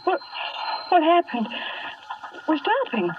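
A woman's voice: very high-pitched, sing-song, slow pace, recorded from a distance, heavily acted. A woman acting an anxious, plaintive, game but squeamish young sidekick in a 1950s radio drama, breathless and wide-eyed. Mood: excited, anxious. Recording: muffled, hissy vintage radio recording.

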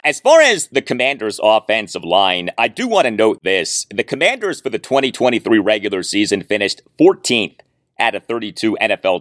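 A man hosting a sports show, delivering commentary and reading listener email with emphatic, deliberate phrasing. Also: low voice, fairly bright tone, no room sound, clean studio sound. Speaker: sports podcast host.

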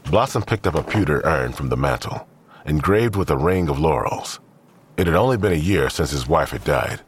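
A man giving a measured, grave scripted narration of a crime drama. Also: very deep voice, lively intonation, a little hiss or hum. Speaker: narrator of a fiction podcast.

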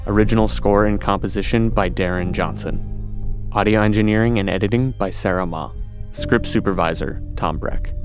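A man reading podcast credits in an even, measured announcing manner. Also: on the low side. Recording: music bed underneath.

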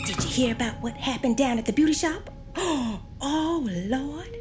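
An actress playing a gleeful, scandalized small-town gossip with a Southern US accent in an audio drama. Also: female voice, sits high, a little slow. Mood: gleefully scandalized. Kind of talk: lively audio drama acting. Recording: music behind the voice.

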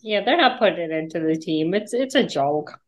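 A woman co-hosting a casual podcast who chimes in with brief, quick responses and agreements. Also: fairly low pitch, animated. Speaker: podcast co-host.

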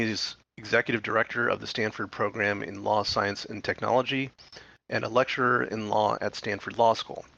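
A man's voice: fairly low pitch, gravelly, bright, forceful tone. A man hosting an interview teleconference, reading a sponsor note and then chatting loosely with fillers. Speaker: podcast host. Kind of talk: interview host, reads sponsor intro. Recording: dull dry teleconference audio.

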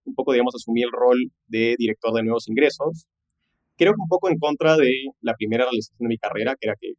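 A man's voice: medium pitch, no echo. A man, telling his career story conversationally as a podcast guest, dropping in English business jargon and frequent tag questions. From Peru. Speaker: podcast guest, tech entrepreneur.

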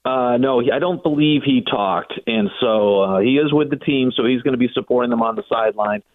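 A man's voice: medium pitch, a little fast, no echo. An American man talking as a guest on sports radio, recounting things conversationally and in long-winded detail. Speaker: sports broadcaster interviewed as guest. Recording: phone-like narrow band, dry.

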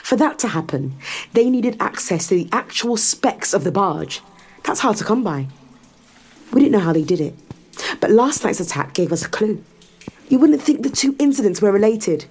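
A woman's voice: on the low side, lively intonation, fairly quick, a little mellow, breathy edge. An actress playing a cold, menacing woman interrogator in a science fiction audio drama, controlled and taunting as she lays out her case. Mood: accusing, controlled. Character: cold, menacing woman interrogator in a near-apocalypse sci-fi world.

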